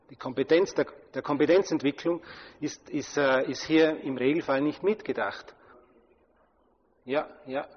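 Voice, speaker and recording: A man's voice: mid-range voice, breathy edge. A man lecturing in German on education theory, speaking in long abstract sentences with frequent hesitations and restarts. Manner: hesitant, many fillers and restarts, abstract academic phrasing. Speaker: lecturer answering questions. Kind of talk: academic discussion. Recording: muffled hall recording with long reverb.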